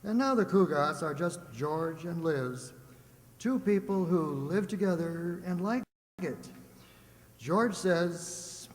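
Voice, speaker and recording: A man's voice: fairly high pitch, expressive, slow pace. A man narrating a scripted old-time radio comedy as a theatrical announcer. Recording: echoey hall with faint noise.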